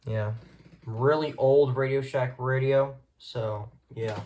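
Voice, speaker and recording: A man's voice: medium pitch, fairly even intonation, slow pace, a little mellow. A man talking informally and offhand as he shows off a collection, in an unscripted hobby video. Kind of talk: casual showcase of a radio collection, unscripted.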